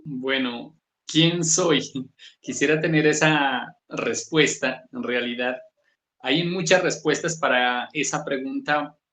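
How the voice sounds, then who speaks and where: A man's voice: slow, pushed and bright. Man with a Pasto Colombian accent, echoey room. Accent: Colombian, Pasto region. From southern Colombia, Nariño. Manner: thoughtful, varied pitch, asks "¿sí?" to check in. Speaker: author, guest. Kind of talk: reflective conversational interview.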